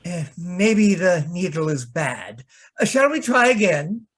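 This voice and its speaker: A man's voice: high-pitched, big pitch swings, slow, fairly bright tone, full character voice. A man plays a fussy, affectionate grumbler of a photographer in a radio mystery. He takes his time over every phrase, arch and genteel, dithering theatrically. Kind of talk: old-time radio comedy-mystery acting.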